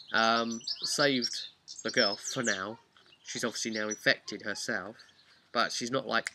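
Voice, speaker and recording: A man's voice: mid-range voice, bright, forceful tone, a little hiss or hum. A man telling a casual, unscripted recap of a tabletop game as its game master, rambling and using repetition for emphasis.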